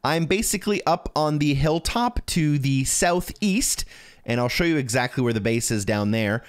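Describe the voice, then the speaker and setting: A man's voice: fairly high pitch, animated, moderate pace, clean tone. Casual man narrating a game guide. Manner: informal, instructional, addresses viewer directly. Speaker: video game guide creator.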